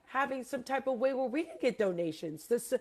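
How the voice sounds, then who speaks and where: Gravelly woman host, rambling and emphatic. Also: high-pitched, expressive, a little fast, darkish tone. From Hawaii. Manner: rambling, emphatic, asks listeners questions. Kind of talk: casual debate chat.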